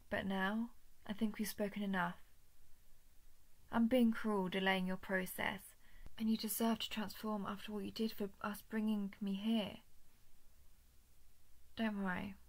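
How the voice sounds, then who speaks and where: A woman voicing a coldly menacing, falsely gentle alien parasite in an intimate horror audio roleplay, condescending, often slipping toward a breathy whisper. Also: medium pitch. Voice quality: creaky, breathy with frequent whispering. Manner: measured, condescending, menacing coaxing.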